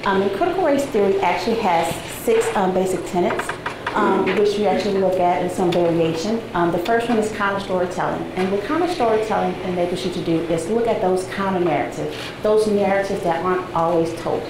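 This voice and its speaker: A woman in her forties giving an academic talk to a seated audience, soft-spoken and conversational with frequent ums, heard from a distant camera in a large seminar room. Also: mid-range voice, fairly quick. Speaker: guest lecturer. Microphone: far camera, no visible mic. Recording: echoey room, noisy background.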